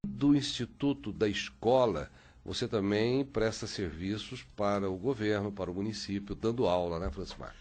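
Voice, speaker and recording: A man's voice: fairly low pitch, moderate pace, darkish tone. A man hosting a radio interview, asking long, rambling questions full of fillers. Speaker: radio host.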